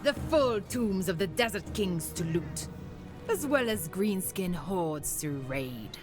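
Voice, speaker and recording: A woman's voice: mid-range voice, lively intonation, takes it slowly. A woman playing a bold, ruthless pirate queen captain in a video game, with a commanding theatrical delivery. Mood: proud, calculating. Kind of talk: scripted dramatic game narration. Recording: loud music behind the voice. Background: music.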